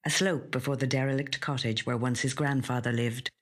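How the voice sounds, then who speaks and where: An actor with a slightly husky, breathy voice reading a literary short story in a measured, hushed way. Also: medium pitch, steady tone. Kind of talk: scripted literary narration.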